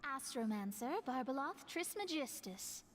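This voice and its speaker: Casting: young female stargazer scholar for a game, proud and lecturing, high, lively, articulate. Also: measured pace, slight background noise. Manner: articulate, lecturing, self-assured. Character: proud, wordy young female astrologist, smart and slightly haughty. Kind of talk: video game voice acting.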